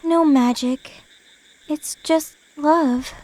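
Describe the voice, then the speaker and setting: A woman playing a gentle, self-doubting young guardian angel in an audio drama, apologetic and hesitant in manner. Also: sits high, steady tone, very slow, low-quality recording. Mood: tender. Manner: soft-hearted, apologetic, hesitant. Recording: music under the voice. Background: music.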